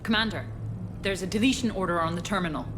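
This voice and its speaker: An actress playing an eager, anxious junior military officer in a video game, earnest and apologetic. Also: female voice, lowish voice, quick pace, background noise, natural voice. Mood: alarmed. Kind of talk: cinematic video game dialogue.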